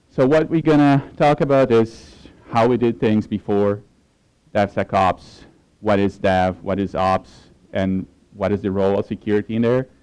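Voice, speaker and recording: A man with a Portuguese accent gives a technical talk at a conference. His voice sits fairly low and sounds mellow and smooth. He speaks in a relaxed way, with many fillers and restarts. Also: poor audio.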